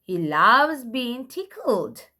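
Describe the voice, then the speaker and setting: Female children's book reader, higher voice, lively melodic swings, very unhurried word-by-word delivery for little ones. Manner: simple, deliberate word-by-word delivery. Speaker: presenter showing and reading children's books. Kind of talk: slow read-aloud for toddlers.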